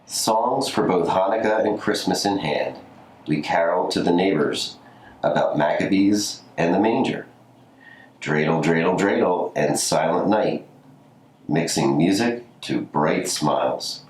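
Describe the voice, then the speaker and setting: A man with a notably deep voice reads a storybook aloud. The recording is roomy and echoey, with faint noise behind him. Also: measured pace, darkish tone. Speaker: community story reader. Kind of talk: scripted storybook reading.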